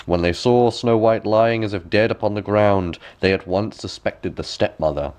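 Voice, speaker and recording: A man's voice: on the low side, moderate pace, a little mellow. A man reading a fairy tale as a bedtime story, with dramatic, careful phrasing.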